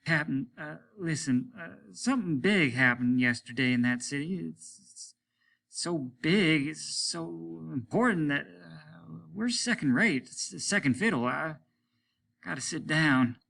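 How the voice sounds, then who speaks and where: A man reading a story, voicing a polite, patient but dazed subordinate spaceman who speaks haltingly and deferentially. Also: on the high side, animated, creaky, a bit bright, pitched above the performer's own voice, full character voice. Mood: dazed, faltering. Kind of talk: audiobook character voice.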